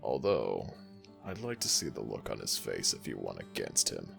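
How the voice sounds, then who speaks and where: A man voicing an ancient jackal god in an audio roleplay, gentle, teasing and fond toward the listener. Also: huge pitch swings, music in the background, heavily acted. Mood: playful warm. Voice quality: very deep gravelly voice, slow and soothing. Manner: affectionate, playfully self-mocking.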